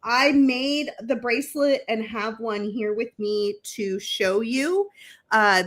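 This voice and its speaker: A woman with a fairly high voice co-hosts a craft livestream from her home. She teases her partner with disbelieving questions, then runs through a shop deal in a brisk sales manner. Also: fairly bright tone. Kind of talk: casual chat and shop promotion.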